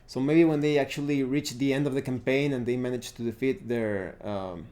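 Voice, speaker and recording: A man's voice: mid-range voice, steady tone, normal speed, a little mellow. A man giving an unscripted explanation to viewers as a tabletop gaming host, thinking aloud and often restarting his phrases.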